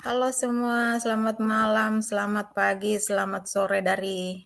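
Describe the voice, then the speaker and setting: A woman from East Kalimantan, a guest introducing herself in an unhurried way with fillers. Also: medium pitch, monotone, creaky, a bit bright. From East Kalimantan, Indonesia.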